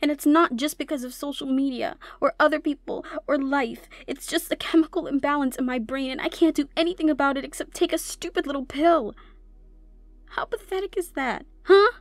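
A young woman playing a troubled tomboy goth college girl, shy and softly teasing, in an intimate ASMR roleplay with hesitant delivery and whispered asides. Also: high-pitched, a little fast, no room sound, soft background music. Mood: distraught, self-loathing.

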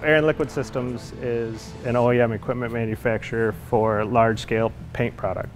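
A man's voice: mid-range voice, flat delivery, fairly quick. American guy, factory manager type, talking plainly in an interview, music underneath. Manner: plain-spoken, colloquial. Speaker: production manager. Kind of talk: customer testimonial interview.

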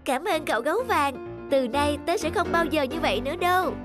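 A tiny, very high-pitched bear cub from a children's cartoon. The voice is childlike and earnest, quick to plead or squabble, with music playing underneath. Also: female voice, expressive. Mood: friendly. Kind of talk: Vietnamese children's cartoon dubbing.

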